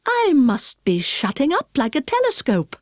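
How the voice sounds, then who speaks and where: Storybook child heroine, played by adult woman: little girl, curious, earnest, well-mannered, raised pitch, very animated melody. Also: measured pace, no room sound, studio quality, exaggerated voice. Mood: wondering. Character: curious little girl, polite, earnest, prone to self-scolding.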